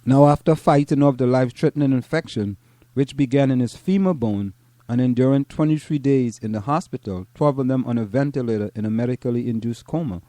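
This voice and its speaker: A middle-aged man from Trinidad and Tobago with a Trinidadian accent, co-hosting a casual talk show, reading a news article aloud and then chatting, often halting and repeating words. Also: mid-range voice, mellow, no echo. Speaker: podcast co-host.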